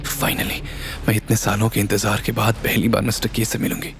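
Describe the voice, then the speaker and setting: A man voicing a young businesswoman who speaks softly and hesitantly in an audio drama. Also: fairly low pitch, completely flat delivery, normal speed, music in the background, lightly acted. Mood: eager, nervous. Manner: soft, quiet, hesitant. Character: young woman, eager businesswoman.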